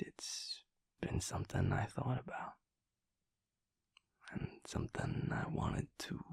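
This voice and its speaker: Man voicing a devoted werewolf boyfriend, very husky and breathy, slow halting delivery, hushed, heartfelt, intimate audio roleplay. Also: sits low, dry recording, full character voice. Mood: hesitant, gentle. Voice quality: breathy, gravelly.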